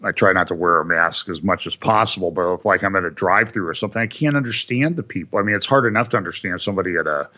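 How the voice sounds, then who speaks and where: A deep-voiced man talking about the news on a call, wry and exasperated, in long runs. His tone is low but with a bright, forceful edge. The call audio is a little dull. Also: fast talker, hardly any pauses. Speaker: guest commentator. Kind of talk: casual political chat. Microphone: phone or call line.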